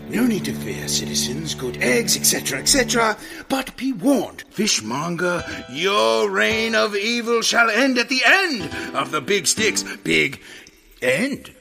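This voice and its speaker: A man's voice: sits high, big pitch swings, measured pace, gravelly, over music, heavily acted. A man playing a pompous, bumbling masked vigilante, grandiose and easily flustered, in a comic audio drama. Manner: grandiose, florid, self-flustered. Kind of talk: audio comedy drama acting.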